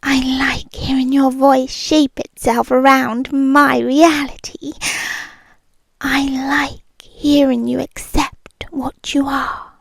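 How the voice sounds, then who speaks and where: A woman with a British accent voicing a possessive, obsessive rich young girlfriend. She speaks in a soft, breathy whisper, slow, intimate and menacingly sweet, close to the microphone. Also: high-pitched, level tone, heavily acted. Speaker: ASMR roleplay performer. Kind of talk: ASMR roleplay.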